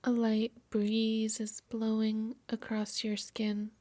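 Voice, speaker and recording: A woman yoga nidra instructor softly narrating a guided meditation in a soothing, measured, breathy voice. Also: a little high, completely flat delivery, no echo. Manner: soft, soothing, measured delivery.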